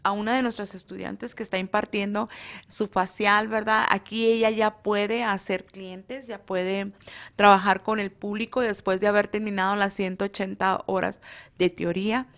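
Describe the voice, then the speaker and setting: A woman with a mid-range voice explains her school's training in a relaxed radio chat. She hesitates often and fills pauses while hunting for words. Her first language is Spanish. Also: mid-range voice. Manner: hesitant, many fillers, searches for words. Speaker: beauty school instructor. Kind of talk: casual radio interview.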